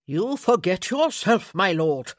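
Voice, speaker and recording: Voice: mid-range voice, very animated, slow pace, no echo, studio quality. A storybook narrator voicing a puffy, over-eager little lord who prattles on insistently.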